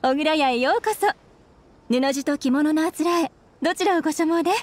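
Woman as polite, high-voiced young kimono shopkeeper. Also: fairly quick, natural voice. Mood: welcoming. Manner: polite customer-service speech. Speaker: game voice actor. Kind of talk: scripted game dialogue, Japanese dub. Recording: studio voice over game music.

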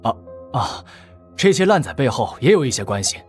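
A placating male henchman, acted by a man for an audiobook. He is obsequious and hesitant, with mid-range pitch that rises and falls freely over faint music. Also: very animated, moderate pace, close to an everyday voice.

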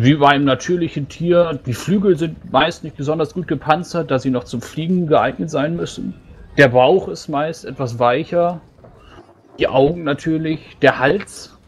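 A man's voice: mid-range voice, lightly acted. A man playing a battle-hardened, matter-of-fact dragon slayer, giving blunt improvised instruction in a tabletop fantasy game. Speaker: player in a tabletop roleplaying game. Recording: noisy recording with background ambience.

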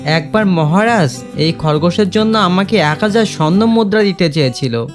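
A man's voice: high voice, lively intonation, normal speed, soft background music. A man playing a clever, scheming young village son in a cartoon moral tale, speaking earnestly and persuasively. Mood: sly, persuasive.

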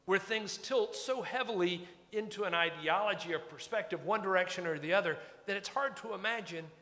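A man's voice: high-pitched, a little fast, sharp tone. A man, preaching a sermon from a pulpit in a church sanctuary in a conversational, wry and reflective way. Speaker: pastor. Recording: long church reverb.

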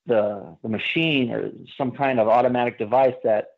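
A man calling in to a radio show, chatting informally in a halting, rambling way over a phone line. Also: medium pitch, steady tone. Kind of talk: casual chat. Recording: tinny narrow phone line.

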